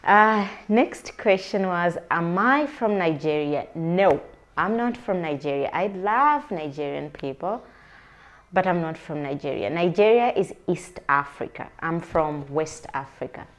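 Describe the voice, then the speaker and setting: A woman chats slowly and unhurriedly to her viewers. Her voice sits slightly low. She rambles, filling pauses with little hesitations and correcting herself as she goes. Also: expressive. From Uganda. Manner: chatty and rambling, with many fillers and self-corrections. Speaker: vlogger. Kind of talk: casual to-camera chat, answering viewer questions.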